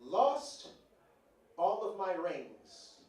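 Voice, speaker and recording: A man's voice: high voice, big pitch swings, very slow, crowd noise. A man reciting a comic poem live at an indoor venue in a theatrical, jokey manner. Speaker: live show performer. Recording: very echoey live venue, distant, faint noise.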